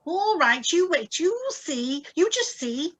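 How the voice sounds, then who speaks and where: A woman plays an excitable wife in a radio suspense play. Her voice is high, and she is stubborn, eager and wide-eyed in her insistence. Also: slow, full character voice. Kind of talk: radio drama script reading. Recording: live video-call recording.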